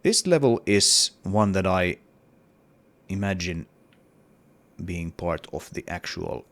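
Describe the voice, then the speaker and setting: A man's voice: low-pitched, expressive, takes it slowly, fairly bright tone. A man with a cold and a partly lost voice, talking casually through his game level in a hesitant devlog with many fillers. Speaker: indie game developer.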